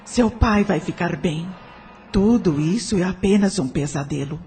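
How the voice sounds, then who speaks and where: A motherly guardian spirit speaks , acted by a woman in a Brazilian audio drama. Her voice is very breathy and soft-edged. She is tranquil and unhurried, soothing over faint music. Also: fairly low pitch, expressive, full character voice. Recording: music behind the voice.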